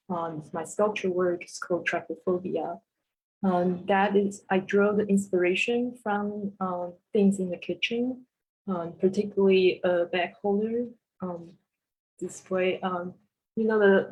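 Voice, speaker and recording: A woman's voice: lowish voice, a little mellow, breathy edge, rough recording. A woman from Taiwan presenting her sculpture work on a video call, talking hesitantly with many fillers. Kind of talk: casual presentation.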